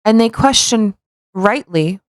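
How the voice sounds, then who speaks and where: A woman's voice: mid-range voice, lively intonation, slow, no echo. A woman co-hosting a podcast, chatting casually and reading a post aloud in a blunt, joking way. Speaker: podcast co-host.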